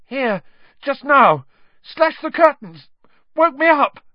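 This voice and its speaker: A man's voice: sits high, very animated, unhurried, dry recording, muffled recording, pitched above the performer's own voice, heavily acted. A man voicing a terrified teenage boy in an audiobook, frantic and insistent, with urgent exclamations in a strained voice. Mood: panicked.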